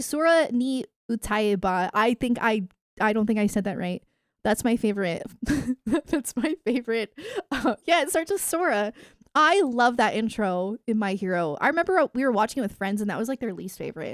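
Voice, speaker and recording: High-voiced woman chatting casually on stream. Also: lively intonation, moderate pace, no echo, clean studio sound. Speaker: livestreamer chatting with viewers. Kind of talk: casual livestream chat about anime and games.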